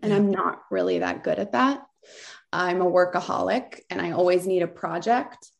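A woman's voice: fairly low pitch, moderate pace, vocal fry. A woman from Southern California, a beauty store founder, telling her story in a chatty, rambling way with many fillers and restarts. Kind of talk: casual interview storytelling.

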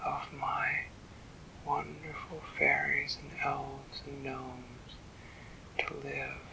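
A man voicing an ancient, dying tree spirit in a bedtime story, speaking in a slow, drawn-out whisper. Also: mid-range voice, flat delivery, gravelly, background noise, voice pushed up, exaggerated voice. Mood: solemn.

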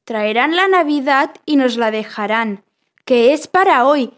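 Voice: high-pitched, lively intonation, a little slow, fairly bright tone. A performer playing a know-it-all little boy in a children's radio play, explaining things matter-of-factly. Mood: knowing, patient. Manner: explanatory, sure of himself.

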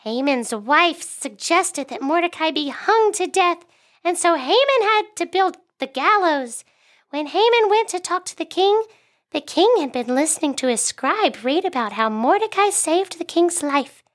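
Woman doing a squeaky chirpy monkey. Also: expressive, measured pace. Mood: storytelling. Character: cheerful young male monkey puppet. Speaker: segment host. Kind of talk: school radio skit.

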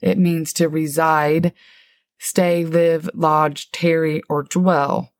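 A middle-aged woman with a low, deep voice talks through a Bible word study at an easy pace. She lingers on definitions as she explains them. Her voice sounds close and dry, with no room echo. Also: level tone. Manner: warm, explanatory, reads definitions slowly. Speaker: podcast co-host, Bible teacher.

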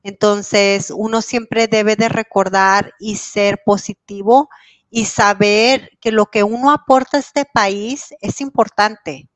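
A woman's voice: medium pitch, fairly even intonation, slow, dry recording. A woman guest in an interview, speaking earnestly and encouragingly. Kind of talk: interview answers.

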